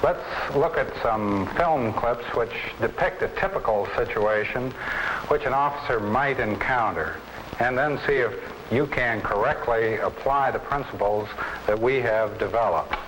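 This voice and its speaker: An American man lecturing a class as an instructor, deliberate, formal and didactic. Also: mid-range voice, fairly bright tone. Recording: noisy old training film soundtrack.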